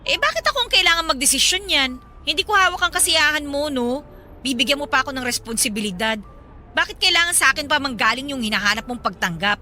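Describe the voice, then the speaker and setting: A woman's voice: high-pitched, lively intonation, fast talker, bright, forceful tone, slight background noise, full character voice. A young woman with a Filipino accent playing an embittered, proud, blunt older sister in a radio drama. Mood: scornful. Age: young adult. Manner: scornful, blunt. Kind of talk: dramatized radio love story.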